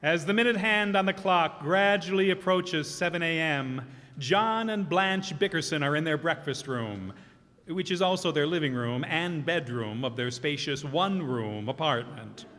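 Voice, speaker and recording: A man's voice: on the high side, moderate pace, fairly bright tone. A man reading a formal announcer's introduction in an old-time radio style. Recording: reverberant hall.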